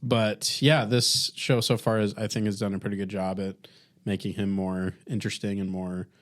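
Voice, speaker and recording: A man co-hosting a podcast, giving his opinions casually in a halting, understated way. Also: fairly low pitch, flat delivery. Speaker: podcast co-host. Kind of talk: casual TV review chat.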